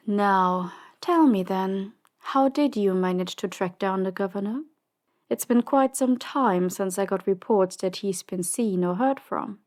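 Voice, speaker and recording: A woman playing a cold, ruthless crime boss in an audio drama, commanding and dismissive in a measured way. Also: medium pitch, darkish tone, close to an everyday voice. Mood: cool, probing. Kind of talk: audio drama roleplay acting.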